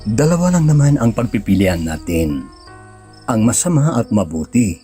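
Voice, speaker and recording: A man plays a loyal, God-fearing Filipino husband in a sentimental radio drama. His voice sits low and is gentle and earnest. Soft music runs under his lines. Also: very animated, moderate pace. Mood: earnest, resolute. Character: devout, tender, loyal young husband and father.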